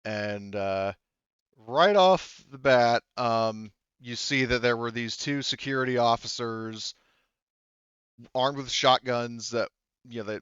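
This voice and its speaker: A man running a tabletop roleplaying game as game master, talking casually and haltingly as he thinks aloud. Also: mid-range voice, fairly bright tone, clean tone, no echo, muffled recording.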